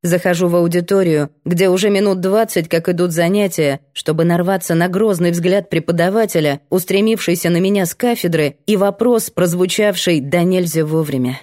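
A woman's voice: lowish voice, normal speed, hardly any pauses, darkish tone. Woman narrating a novel. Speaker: audiobook narrator. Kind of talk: scripted first-person narration of a novel.

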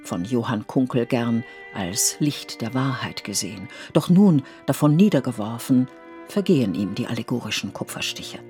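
Narrator for literary radio drama: measured, poetic, mid-range voice over soft music. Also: medium pitch, lively intonation.

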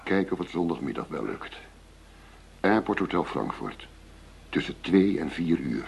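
Low-voiced shrewd undercover cop. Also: male voice, big pitch swings, moderate pace, vocal fry, husky, exaggerated voice. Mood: serious, uneasy. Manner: businesslike, firm. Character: shrewd undercover policeman posing as tough money-smuggling dealer. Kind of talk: radio drama acting. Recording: noisy radio play recording with ambience.

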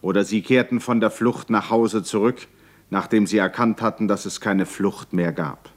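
A man narrates a radio drama in a fairly low voice. His delivery is literary and measured, with dramatic weight and deliberate pauses between phrases. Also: fairly even intonation.

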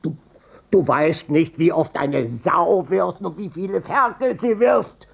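A man's voice: high-pitched, slow, vocal fry, breathy edge, exaggerated voice. An actor playing a stubborn, evasive old father and former wartime policeman with a short temper, in a German radio drama. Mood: furious tirade. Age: older. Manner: blustering, repetitive, swinging from muttering to shouting.

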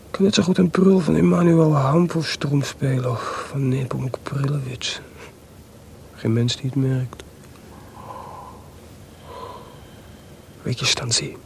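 Guy playing a bitter jealous composer husband, grumbly creaky voice, radio drama, bit of hiss. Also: mid-range voice, natural voice. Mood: bitter, weary. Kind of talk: Dutch radio play acting.

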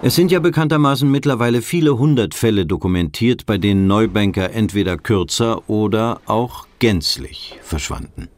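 An actor playing a sober mock-documentary narrator, reading scripted text in a measured, factual, scholarly way. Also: male voice, lowish voice, lively intonation, lightly acted. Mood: detached, factual.

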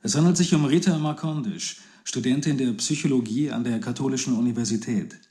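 A man's voice: medium pitch, brisk, dark tone, natural voice. An actor playing a sober, formal male news announcer in a radio drama. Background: music.

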